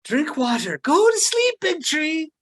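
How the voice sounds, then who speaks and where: A woman playing an earnest, anxious but brave hobbit servant in a script table read, pleading and excitable. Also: high-pitched, measured pace. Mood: soothing.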